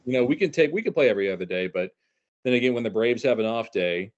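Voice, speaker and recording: A man's voice: mid-range voice, expressive, fast. A man in his thirties chatting casually about sports as a podcast guest. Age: 30s. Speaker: podcast guest, stadium announcer. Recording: dull lo-fi audio.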